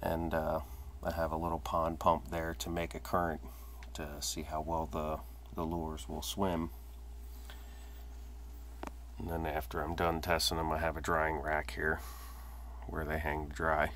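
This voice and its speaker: A man's voice: very deep voice, monotone, measured pace, pauses often, fairly bright tone, a little hiss or hum. A man giving a casual, matter-of-fact tour of his home fishing workshop, filmed on a phone he holds himself. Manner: plain, matter-of-fact listing with hesitant fillers. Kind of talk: casual walkthrough narration. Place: cluttered home workshop indoors. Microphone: handheld phone camera held by the speaker.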